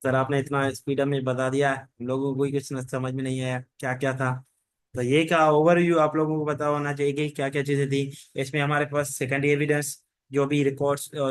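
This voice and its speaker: A man's voice: mid-range voice, smooth, dry recording. A man giving an informal revision lecture, mixing English technical terms into his speech, with repetitive, loosely strung explanations. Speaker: law lecturer.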